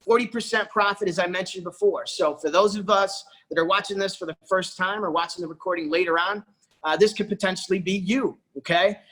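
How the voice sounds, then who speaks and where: A man's voice: high-pitched, a little fast, bright, forceful tone, rough recording. A man giving a persuasive sales presentation on a corporate video call, often calling out to his audience. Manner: persuasive, repeats "folks" and "okay".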